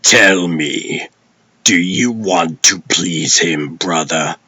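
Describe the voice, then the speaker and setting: A man's voice: low voice, drawn-out words, bright, forceful tone, breathy edge, no echo, exaggerated voice. A man playing an old, stern warrior god and lawman in a comic dub, speaking in grave, archaic, commanding oratory. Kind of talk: theatrical comic dub acting.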